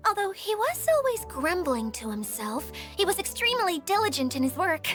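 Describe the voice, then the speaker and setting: A woman's voice: sits high, fairly bright tone. A woman voicing a timid, formal, easily flustered mascot caretaker in a video game, hesitant and apologetic. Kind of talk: video game character dialogue.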